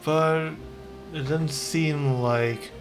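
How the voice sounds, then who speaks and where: A man's voice: fairly high pitch, steady tone, extremely slow. A man casually reacting as he plays a video game, in short offhand comments. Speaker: game streamer. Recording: game music behind the voice.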